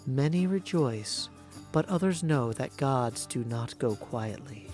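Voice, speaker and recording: Game epic narrator, performed by a man: solemn, measured, middle register, grand pronouncements over soft music. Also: mid-range voice, animated. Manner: grave, measured, portentous. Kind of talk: scripted mythic game narration.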